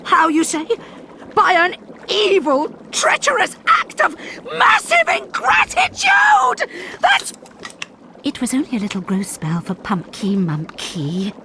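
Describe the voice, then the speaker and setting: A woman's voice: extremely high pitch, huge pitch swings, slow pace, bright, forceful tone, slight background noise, exaggerated voice, rough recording. A woman voicing an eccentric, doting, volatile witch in a game cutscene, theatrical and given to swings between murmurs and shrieks. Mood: shrill outrage. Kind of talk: animated game cutscene acting.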